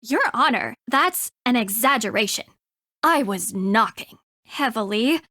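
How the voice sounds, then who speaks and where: A woman's voice: fairly high pitch, expressive, measured pace, sharp tone, no echo, clean studio sound. An actress playing a haughty, sarcastic young woman, a detective's pushy rival sidekick, with an American accent in a video game. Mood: sardonic. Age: young adult.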